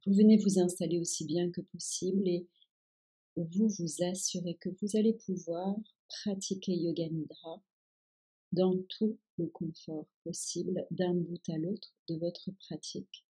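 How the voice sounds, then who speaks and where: Female meditation guide, low-pitched and very unhurried, calm and soothing delivery of relaxation instructions. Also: husky, low-quality recording. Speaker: yoga nidra guide.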